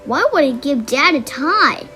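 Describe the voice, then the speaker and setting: A woman's voice: sits high, expressive, unhurried, bright, forceful tone, faint music behind, exaggerated voice. A woman doing a confident big-brother boy. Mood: assured.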